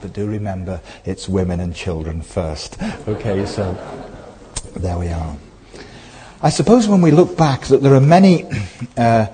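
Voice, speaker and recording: A man giving a presentation to a church audience, gentle with dry humour. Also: mid-range voice, slightly rough. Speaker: guest speaker. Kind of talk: illustrated talk. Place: church hall.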